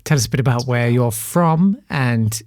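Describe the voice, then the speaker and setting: A man hosts a podcast chat in an easy, conversational way. His voice sits at a middle pitch, and he talks with plenty of ums and restarts while guiding the conversation. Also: moderate pace, darkish tone. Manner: easygoing, chatty, hesitant fillers. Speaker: podcast host. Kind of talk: casual interview chat.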